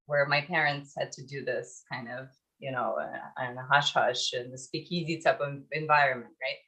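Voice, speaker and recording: A woman in her forties from Ukraine, telling stories in a reflective, halting way as an interview guest. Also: rumbling low, fairly even intonation, a bit bright, low-quality recording. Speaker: podcast guest, startup founder. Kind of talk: conversational interview storytelling.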